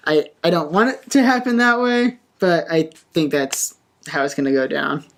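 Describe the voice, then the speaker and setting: A woman with a notably low voice chats from a couch, giggling often and teasing her friends. she hesitates and restarts as she weighs her words. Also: normal speed. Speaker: podcast co-host. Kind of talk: casual chat. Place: indoors on a couch.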